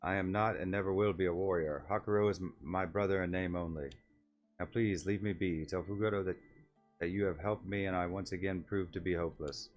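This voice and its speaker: Guy doing a low voiced timid wolf villager from a game, formal, down on himself, music behind. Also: steady tone, a little fast, dull audio, close to an everyday voice. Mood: dejected. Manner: self-deprecating, stiffly formal wording. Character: meek, self-doubting young male wolf-like villager, formal and resigned.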